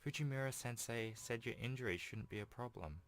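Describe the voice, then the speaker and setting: A man's voice: mid-range voice, brisk, pitched above the performer's own voice, lightly acted. A young man voicing a shy, earnest, polite teenage schoolgirl from a visual novel. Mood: earnest, hopeful.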